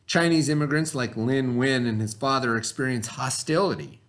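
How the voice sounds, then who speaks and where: A man's voice: mid-range voice, a bit bright. Male teacher reading a lesson aloud. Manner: instructional, pausing to explain and ask questions. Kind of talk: read-aloud lesson with explanatory asides.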